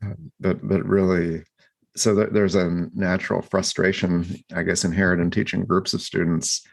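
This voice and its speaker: A man co-hosting a podcast, talking through his thoughts in a reflective, halting way with many restarts and fillers. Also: low-pitched, flat delivery, gravelly. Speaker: podcast co-host. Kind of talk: reflective casual discussion.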